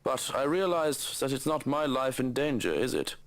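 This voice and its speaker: A man voicing a composed, frank manservant in a visual novel, with a measured and formal manner. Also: medium pitch, big pitch swings, pitched above the performer's own voice, natural voice. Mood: calm, reproving.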